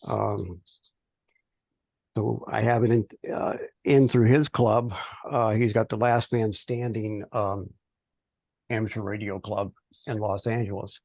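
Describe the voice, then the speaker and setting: Male, middle-aged, creaky mid-pitched voice, halting informal teleconference report, close dry sound. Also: fairly even intonation, dry recording. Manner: halting, hesitant delivery with pauses mid-phrase. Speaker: amateur radio project volunteer. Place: remote teleconference call. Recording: dull, band-limited teleconference audio.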